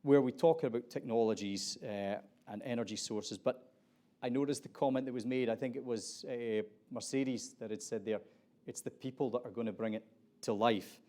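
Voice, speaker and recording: A middle-aged man with an Aberdeen Scottish accent opens a conference panel as its moderator. He talks in a loose, conversational way and often restarts his phrases. His pitch sits in an ordinary middle range for a man. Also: normal speed, warm tone. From Scotland.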